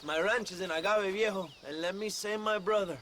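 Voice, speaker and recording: High-voiced Mexican revolutionary leader. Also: male voice, a little fast, a bit bright, a little hiss or hum. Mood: grandly grateful. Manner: florid, theatrical speech. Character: passionate, grandiose male Mexican revolutionary leader. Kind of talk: video game cutscene acting.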